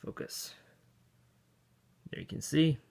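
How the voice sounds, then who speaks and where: A man's voice: slow, a little mellow. A man from Austria chatting casually as he tinkers with an old computer, rambling to himself and chuckling now and then. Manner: rambling, self-talk while tinkering, chuckles often. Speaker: vintage computer hobbyist. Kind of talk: casual hands-on tech vlog.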